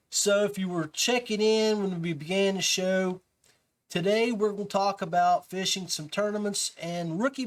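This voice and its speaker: A man hosting a podcast in casual show talk, addressing his listeners directly. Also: high voice. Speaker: podcast host.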